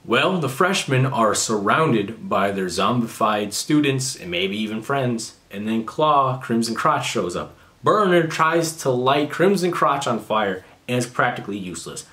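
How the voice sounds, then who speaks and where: A young man talks straight into his webcam from a small bedroom, his voice sitting at an ordinary mid pitch. He sounds sarcastic and mocking, and he swears freely as he runs through a casual commentary. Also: animated, a little slow, hardly any pauses, fairly bright tone. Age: young adult. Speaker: commentary youtuber.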